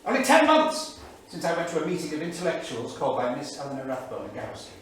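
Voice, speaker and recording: An actor playing an anguished, indignant 1930s English diarist, emphatic and declamatory, in a staged reading. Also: male voice, on the high side, huge pitch swings, quick pace, fairly bright tone. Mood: regretful. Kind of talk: dramatic staged reading of a journal. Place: small theatre studio. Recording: echoey theatre, distant mic, faint noise.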